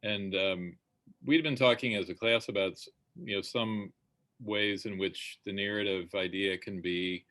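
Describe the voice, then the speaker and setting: A man's voice: fairly low pitch, a little slow, a bit bright. A man in the audience of an online talk, asking a question in a hesitant, conversational way over a video call.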